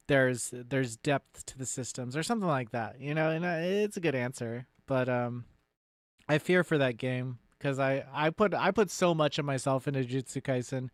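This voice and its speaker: A man's voice: mid-range voice, dry recording, studio quality. A man giving casual, off-the-cuff commentary as a streamer, blunt and sweary, rambling and often trailing off mid-thought.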